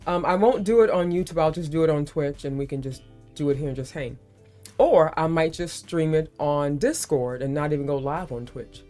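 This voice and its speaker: Low-pitched woman host, breezy and chatty, faint music underneath. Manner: chatty, playful, calls others baby. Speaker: livestream host. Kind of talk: casual livestream chat.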